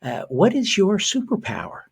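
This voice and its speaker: A man's voice: high voice, huge pitch swings, normal speed, gravelly, breathy edge. A man hosts an interview and frames his questions hesitantly, with many fillers. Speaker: interview show host. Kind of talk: conversational interview.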